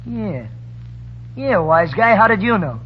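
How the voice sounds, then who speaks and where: A man's voice: high voice, big pitch swings, slow, heavily acted. An actor playing a brash, bossy teenage gang boy with slangy, barking city-kid talk in a 1930s radio drama. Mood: suspicious, brash. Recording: muffled, hissy 1930s radio broadcast.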